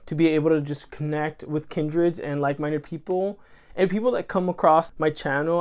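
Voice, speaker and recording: A man's voice: fairly high pitch, darkish tone, smooth. A man who grew up speaking Spanish, talking to camera in a rambling, earnest, effusive way and correcting himself as he goes. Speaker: channel host. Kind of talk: casual chat to camera.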